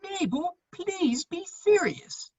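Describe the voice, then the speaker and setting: A woman playing a charming, lovestruck gentleman in his thirties in a comedy of manners, witty and earnestly pleading, on a muffled recording. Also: very high voice, very animated, slow pace, no echo, heavily acted. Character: foppish, lovestruck gentleman just over thirty, charming wit. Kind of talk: stage comedy of manners, dramatic reading.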